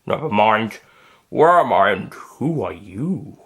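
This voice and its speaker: Male narrator as brusque Scottish alien gentleman, slow deliberate phrasing, terse, audio sci-fi story. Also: lowish voice, sing-song, husky, full character voice. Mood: terse, curious. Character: the Doctor, newly regenerated alien Time Lord, brusque Scottish man.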